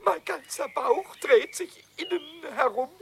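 A man voicing a cheeky, excitable little male goblin in a 1970s radio play, stammering and wailing dramatically with a lilt. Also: extremely high pitch, measured pace, gravelly, a little hiss or hum, full character voice.

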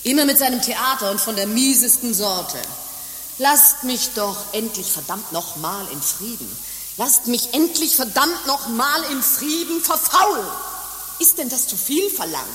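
An actress playing a bitter, world-weary former harlot turned nun in a radio play, with theatrical speech both ornate and coarse. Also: female voice, fairly high pitch, lively intonation, measured pace, fairly bright tone. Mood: scornful fury. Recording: hissy recording with long reverb.

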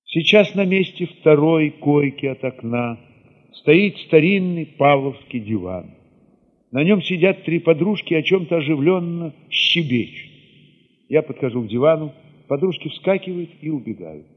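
Husky man narrating drama in long reverb. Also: on the high side, animated, unhurried. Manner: theatrical, with hushed passages and sudden swells. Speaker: narrator reading an audio play. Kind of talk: dramatic literary narration in the first person. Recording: reverberant, slightly dull sound.

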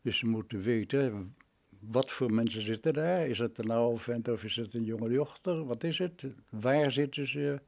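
A man, speaking reflectively and haltingly as an interview guest, often agreeing emphatically. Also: mid-range voice, vocal fry. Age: nineties, almost a hundred. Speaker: interview guest, retired radio drama director. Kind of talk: reminiscing interview conversation.

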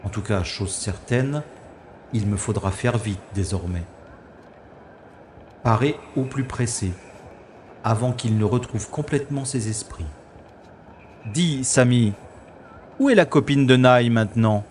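A sardonic male special agent played by an actor in a science-fiction radio play. He speaks slowly and deliberately, with theatrical mockery, over clearly audible vehicle ambience. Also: medium pitch, darkish tone, slight background noise, lightly acted. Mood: mocking, commanding. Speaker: lead actor and narrator of audio drama. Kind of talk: science-fiction audio drama acting with inner-monologue narration.

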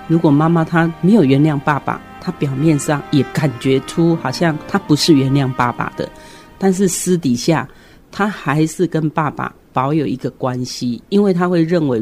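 A middle-aged woman with a Taiwanese Mandarin accent, explaining reflectively in short, deliberate phrases as a guest on a radio discussion. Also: sits low, a little mellow. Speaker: guest commentator, educator.

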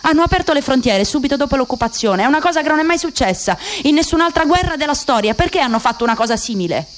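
A woman's voice: high-pitched, animated, quick pace, slight background noise. A woman voicing a bitter, resentful husband. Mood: bitter, sardonic. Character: bitter, resentful Palestinian husband driving home.

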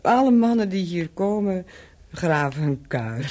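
An actress playing a cynical, bittersweet former prostitute and spy in an intimate radio play, with a husky, breathy voice that often drops to a whisper. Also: female voice, fairly low pitch, lively intonation, a little mellow. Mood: mocking. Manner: slow, deliberate, bittersweet and mocking. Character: world-weary cynical ex-prostitute and former spy, now hotel keeper.

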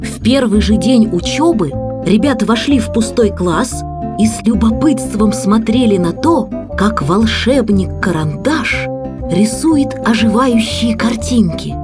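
A woman narrates a children's audiobook with storytelling expression. Her voice sits over clearly audible background music. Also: a little high, animated, a little slow.

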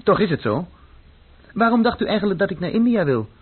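Bored, disillusioned man; husky, questioning radio-drama delivery through thin, narrow sound. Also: high voice, big pitch swings, brisk, exaggerated voice. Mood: bleak. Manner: world-weary, questioning. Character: disillusioned, bored young man, empty and blunt. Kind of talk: radio play acting. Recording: muffled, phone-like old radio recording.

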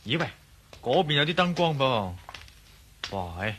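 A man's voice: fairly high pitch, lively intonation, unhurried, a bit bright, slight background noise. A man playing a curious, teasing Hong Kong tourist in a radio drama, casual and wry. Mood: grumbling, uneasy. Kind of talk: Cantonese radio drama acting.

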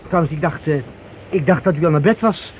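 An actor playing a polite, apologetic male caller who explains himself haltingly in a radio play. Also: on the high side, natural voice. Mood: hesitant, apologetic. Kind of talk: Dutch radio play acting. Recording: dull, noisy old radio play recording.

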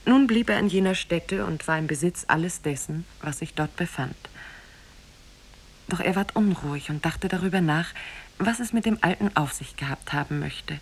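An actress as the narrator of an Arabian fairy tale, grave and measured in 1950s radio storytelling style. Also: female voice, on the low side, slightly rough. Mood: measured, solemn. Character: solemn female teller of an Arabian Nights tale. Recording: 1950s radio recording from cassette.

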